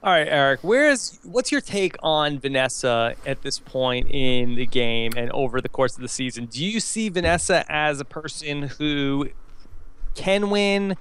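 A man's voice: on the high side, lively intonation, measured pace, fairly bright tone, clear, resonant tone. A man hosting a reality TV recap show in a conversational way. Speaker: podcast host.

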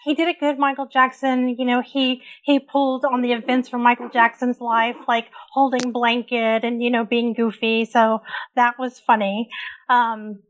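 Hoarse woman co-host, chatty and frank. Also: fairly high pitch, flat delivery, moderate pace. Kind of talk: casual recap chat.

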